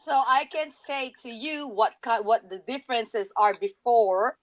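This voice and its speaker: A woman with a Japanese accent, a guest in a casual group video chat, speaking in halting restarts and often ending with "that's why.". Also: fairly high pitch, dry recording, highs cut off.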